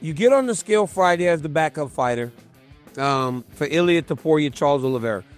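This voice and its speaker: Brief: male host, high voice, conversational questioning, light music underneath. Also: lively intonation. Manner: conversational, quick follow-up prompts. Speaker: interview host. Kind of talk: casual interview questions.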